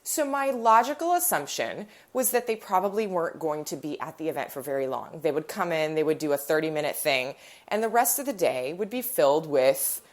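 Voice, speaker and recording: Stuffed-up nasal woman with a cold, lowish voice, rambling casual podcast chat into her phone at home. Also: animated, a little fast. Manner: chatty, rambling storyteller. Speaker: podcast host. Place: living room.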